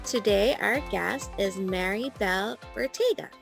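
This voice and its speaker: A woman's voice: medium pitch, measured pace, vocal fry, over music. A woman hosting a podcast, chatty and informal, with frequent ums. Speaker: podcast host, coach and therapist.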